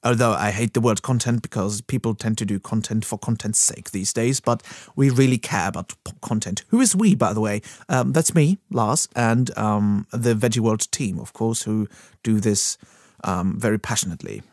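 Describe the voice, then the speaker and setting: A man's voice: fairly low pitch, moderate pace, a little mellow, no echo, clean studio sound. A man whose first language is German, hosting a podcast and introducing an episode in a chatty, self-deprecating, rambling way. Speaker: podcast host.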